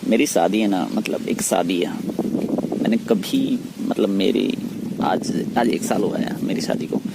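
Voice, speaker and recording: A man speaking in a private phone conversation, pleading and persuading in a halting, repetitive way. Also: low-pitched, wildly swooping pitch, vocal fry. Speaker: teacher. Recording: noisy phone call recording.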